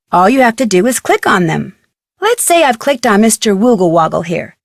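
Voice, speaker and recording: Nancy Drew, high-voiced curious young detective. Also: female voice, no echo, clean studio sound. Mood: helpful, instructive. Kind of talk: scripted game dialogue and tutorial narration.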